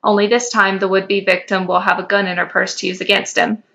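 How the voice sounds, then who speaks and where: A woman reading the part of an earnest, assured male federal investigator in fan fiction, matter-of-fact and brisk, on a slightly dull lo-fi mic. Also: mid-range voice, flat delivery, creaky, a bit bright.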